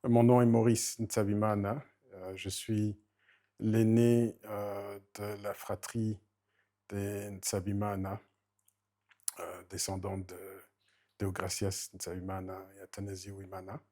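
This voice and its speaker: A middle-aged man from Rwanda introducing himself, slowly and formally, with many hesitations. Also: fairly low pitch, flat delivery, dark tone. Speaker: family member introducing himself. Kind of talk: halting spoken self-introduction.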